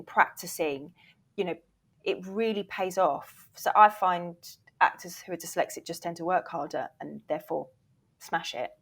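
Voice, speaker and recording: A woman's voice: medium pitch, a bit bright, husky. Encouraging, emphatic woman in interview. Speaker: interview guest, voiceover reel producer and former agent. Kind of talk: casual interview talk, giving advice.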